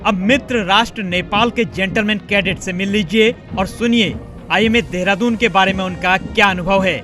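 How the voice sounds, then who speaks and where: Male news narrator, very quick scripted read, forceful bright tone. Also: high-pitched, fairly even intonation, soft background music. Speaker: news presenter voice-over.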